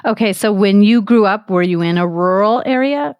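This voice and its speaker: An upbeat woman hosts a podcast and asks her guest questions. She sounds encouraging and engaged, reading her intro smoothly before moving into relaxed questions. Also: mid-range voice, moderate pace, no echo, highs cut off. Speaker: podcast host. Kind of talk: scripted intro and interview hosting.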